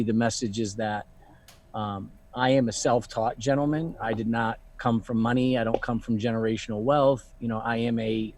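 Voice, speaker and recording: A man's voice: medium pitch, darkish tone. A man in his thirties, a luxury fashion founder and guest, talking casually and reflectively, with many "you know" fillers. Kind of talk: casual live online Q&A.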